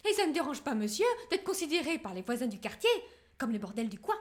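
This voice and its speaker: A woman voices a scornful middle-aged wife in a comic dub, always ready with a cutting reply. Her voice rides high and bright. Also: sing-song, quick pace. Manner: sarcastic, biting retorts. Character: sharp-tongued bourgeois wife, middle-aged. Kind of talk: comedy parody dub.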